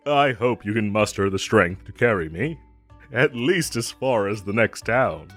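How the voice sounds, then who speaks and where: A man's voice: fairly low pitch, expressive, slow pace, faint music behind. A man playing a gallant, theatrical knight with a playful streak and formal, archaic phrasing in a fantasy audio roleplay. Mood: warm, relieved.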